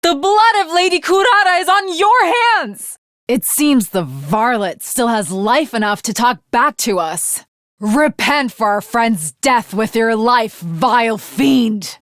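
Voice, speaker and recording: A woman's voice: on the high side, animated, slow, a bit bright, no room sound, studio quality. A woman voicing a fervent young samurai maiden in an anime video game, with archaic, formal and theatrical diction. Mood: anguished, vengeful.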